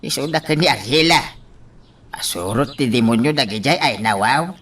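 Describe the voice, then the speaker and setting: Male actor as agitated complainer, forceful tone, exclamatory, Ilocano radio drama, distant mic. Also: mid-range voice, expressive, normal speed, slightly rough. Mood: grumbling. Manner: exclamatory, repeats a pet interjection.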